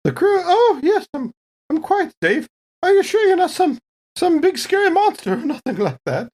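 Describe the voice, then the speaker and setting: A woman putting on a high, fluttery older lady. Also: sing-song, moderate pace, a little mellow. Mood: wary, nervous. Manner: fussy, hesitant, genteel exclamations. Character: older woman ship's passenger, polite, flustered, timid.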